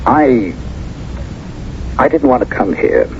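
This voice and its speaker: An actor playing a troubled, earnest war veteran in love in a 1970s radio drama, speaking hesitantly. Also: male voice, medium pitch, very animated, slow, vocal fry, heavily acted. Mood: earnest, smitten. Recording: dull, noisy old radio recording.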